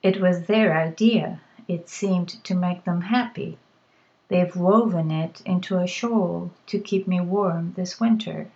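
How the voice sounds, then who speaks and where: A woman's voice: lowish voice, takes it slowly, highs cut off. Woman reading as a sly old cave witch. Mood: matter-of-fact. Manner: dry, matter-of-fact, quietly knowing.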